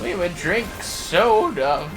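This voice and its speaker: Comic old-timer played by a man: high-pitched, grumbling, nostalgic, exaggerated indignation, game stream with light music. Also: sing-song, takes it slowly, heavily acted. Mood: nostalgic, boastful. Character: grumbling nostalgic old-timer reminiscing about tougher days.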